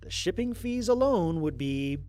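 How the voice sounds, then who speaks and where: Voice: mid-range voice, a little slow, close to an everyday voice. An actor playing a wry, exasperated astronaut stranded on an alien world, recording mission logs in an audio drama with dry sarcasm. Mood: exasperated, matter-of-fact. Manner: sardonic, dry, expressive emphasis.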